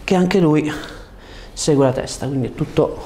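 A man in his thirties speaking as a chiropractor, giving short, gentle, reassuring instructions to a patient in a calm clinical way. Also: fairly high pitch, normal speed. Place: indoor chiropractic office. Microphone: lapel mic. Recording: faint background room noise.

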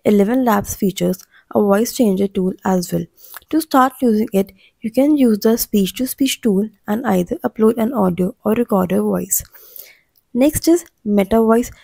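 A woman narrates a scripted explainer in a soft, dark-toned voice with some vocal fry. Her delivery is measured, and the recording is dry and close, with no room sound. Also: medium pitch, no echo. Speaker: channel narrator.

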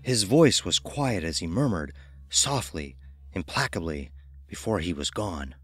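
A man's voice: low voice, expressive, measured pace, slightly rough, dry recording, faint music behind. A man narrating a first-person horror story in a grave, intense, theatrical manner.

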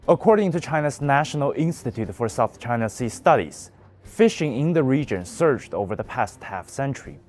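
A man's voice: mid-range voice, a little mellow. A young man presenting a scripted news commentary with an emphatic, pointed delivery.

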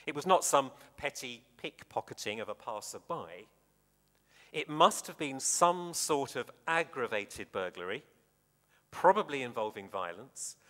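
Male preacher, sermon delivery, bright forceful tone, highly varied pitch, deliberate phrasing, long hall reverb. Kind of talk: sermon with scripture reading.

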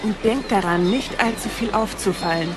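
A woman plays a sensible, somewhat bossy young woman in an audio drama. She has a middle-pitched voice and a friendly but admonishing manner, with music clearly underneath. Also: moderate pace, close to an everyday voice.

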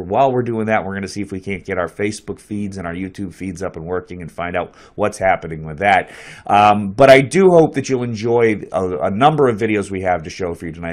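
A man in his forties hosting a small online show, talking in a loose, chatty way while he has a cold, recorded in a small office on a desk mic. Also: fairly low pitch. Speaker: host. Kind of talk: casual live-stream hosting. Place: small office with a map on the wall. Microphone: headphones with a desk mic.

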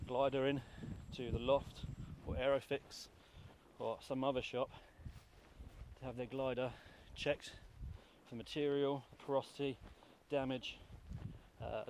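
A man's voice: mid-range voice, fairly even intonation, slow, noisy background. A man in his thirties, a paraglider pilot, talking casually and earnestly to an action camera outdoors, asking the viewer questions. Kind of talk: casual vlog explaining gear. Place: outdoors on a grassy hillside, then in flight.

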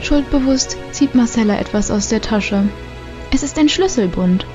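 A woman narrating an audio drama as a calm, descriptive storyteller. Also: on the high side, normal speed, over music, close to an everyday voice. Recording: slightly muffled home recording.

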